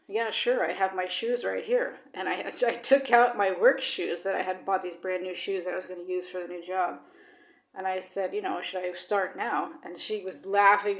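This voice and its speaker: Woman, casual vlog talk, rambling, roomy echo. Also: medium pitch. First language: English. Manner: chatty, rambling, with many fillers; mixes in Swedish words. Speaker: vlogger. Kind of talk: casual personal chat.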